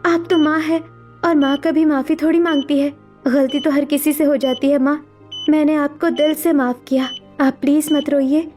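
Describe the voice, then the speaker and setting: A woman's voice: high-pitched, fairly even intonation, normal speed, a little mellow, soft background music, close to an everyday voice. A woman voicing a devoted, earnest young daughter with a pleading manner in a Hindi cartoon melodrama. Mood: tender, forgiving. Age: young adult.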